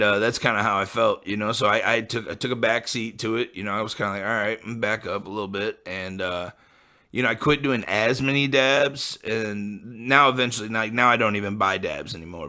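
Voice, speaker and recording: A man's voice: fairly low pitch, sharp tone. A man in his late twenties from the American Midwest, rambling casually and profanely as a podcast host, full of fillers. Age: late 20s. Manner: laid-back, profane, full of likes and you-knows.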